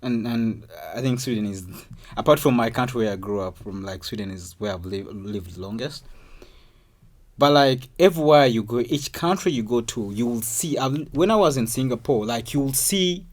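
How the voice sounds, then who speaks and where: A man's voice: medium pitch, normal speed. A man guest on a podcast, talking emphatically and repeating himself for stress in casual conversation. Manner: emphatic, repeats words, rising excitement. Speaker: guest, artist and producer.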